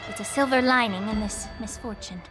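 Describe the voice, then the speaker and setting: A woman playing a shrewd, poised healer in an anime game, polished and gently commanding. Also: mid-range voice, brisk, soft background music, lightly acted. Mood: composed, concerned.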